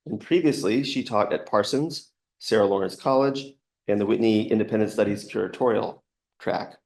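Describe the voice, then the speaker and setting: A man reading a measured, formal introduction of a guest lecturer in a lecture hall. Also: fairly low pitch, a little mellow. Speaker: event host introducing a speaker.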